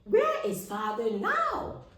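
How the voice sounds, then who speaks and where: An eager young spider brother from a storybook, acted out by a woman reader. He exclaims earnestly in a high, swooping voice, ready to help his family. The sound is roomy and echoing. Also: wildly swooping pitch, takes it slowly, fairly bright tone, heavily acted. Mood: alarmed. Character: eager, helpful young male spider brothers, sons of Anansi. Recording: echoey room, distant microphone.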